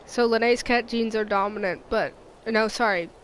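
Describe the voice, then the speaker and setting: A woman's voice: fairly high pitch, normal speed, a little hiss or hum. A woman streaming a game with casual, rambling commentary, playful and dryly sarcastic.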